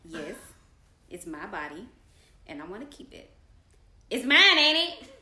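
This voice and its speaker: A woman's voice: medium pitch, very animated, a little slow, pushed and bright, heavily acted. A woman playing a brash, pregnant young girlfriend in an amateur comedy table read, sassy, blunt and slangy. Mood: scornful, annoyed. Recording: roomy, echoey room.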